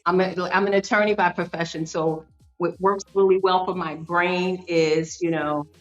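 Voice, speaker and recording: Fifties lady chatting on a podcast, kinda low voice, lots of ums, dull lo-fi audio w/ faint music. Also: fairly even intonation, moderate pace. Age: 50s. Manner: earnest storytelling with frequent fillers and restarts. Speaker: podcast guest. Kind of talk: conversational interview.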